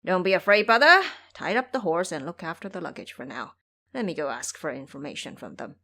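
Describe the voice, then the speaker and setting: A woman's voice: fairly low pitch, animated, fairly quick, sharp tone, heavily acted. A woman voicing a boastful, foolish pig-monster monk in an audio drama, eager and blustering. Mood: bold.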